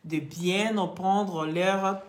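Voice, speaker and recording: A woman's voice: medium pitch, fairly even intonation, extremely slow. Emphatic woman, echoey room. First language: not French. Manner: emphatic, lecturing, addresses viewers directly. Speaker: online vlogger and beauty product seller. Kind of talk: live social media chat and product pitch.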